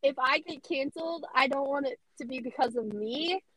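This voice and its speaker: Young woman, squeaky high voice, bubbly chatter, dry close mic. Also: moderate pace, a bit bright, dry recording, poor audio. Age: young adult. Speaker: radio host guest. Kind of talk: casual podcast chat.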